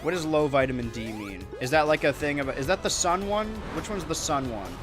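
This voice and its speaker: A young American man with a monotone voice, chatting loosely while streaming a video game, rambling with many restarts. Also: a little high, brisk, music in the background. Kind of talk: casual gameplay chat.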